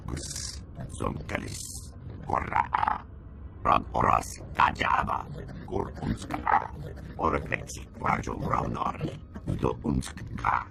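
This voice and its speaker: A man voicing a huge, menacing alien brute in a video game, with guttural, growling, slow alien speech over noisy ambience. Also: very deep voice, vocal fry, heavily acted. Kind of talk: video game creature acting.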